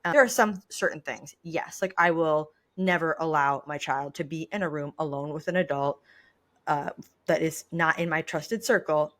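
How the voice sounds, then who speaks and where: A woman's voice: sits low, moderate pace, fairly bright tone. A woman in her thirties co-hosting a podcast, chatting informally and candidly with a self-deprecating way of talking. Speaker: podcast co-host. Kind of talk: casual chat.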